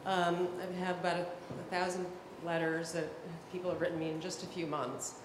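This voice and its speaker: Woman in the audience, halting, lowish voice, hall echo and noise. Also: little pitch movement. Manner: halting, hesitant, many restarts. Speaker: audience member. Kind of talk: audience question at a panel. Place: large hall at a public event. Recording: echoey hall, audible room noise.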